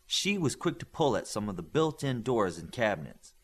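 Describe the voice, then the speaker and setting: A man's voice: fairly low pitch, very animated, moderate pace. A man reading a story aloud as scripted audiobook narration. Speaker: audiobook reader. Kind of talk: scripted fiction narration with acted dialogue.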